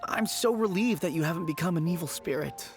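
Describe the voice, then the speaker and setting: Game role: man as an earnest, loyal boy; high-ish, sincere. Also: brisk, over music, close to an everyday voice. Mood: fond. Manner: earnest, fond. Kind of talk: video game voice acting.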